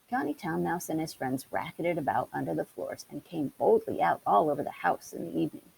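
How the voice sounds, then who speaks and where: A woman reads a children's story aloud. Her voice is fairly low and heavily creaky, with very lively intonation. There is a faint hiss on the recording. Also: rough recording. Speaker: storybook reader. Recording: hissy background noise.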